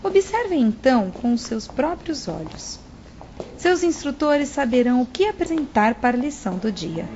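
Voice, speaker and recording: A dignified, serene female spirit in charge of a hospital , played by a woman, speaks formally with a Brazilian accent. Her voice is very high, in a slightly noisy, muffled audio drama recording. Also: very animated, a little mellow. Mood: grave, instructive. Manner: formal, measured, instructive. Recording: dull lo-fi audio with faint noise.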